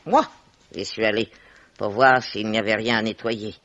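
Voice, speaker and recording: An actress playing a blunt, nosy old Russian-born widow, sly and emphatic, in a 1950s French radio mystery. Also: female voice, extremely low pitch, steady tone, normal speed, fairly bright tone, dry recording. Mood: sly, gossiping. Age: older. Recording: dull vintage radio recording.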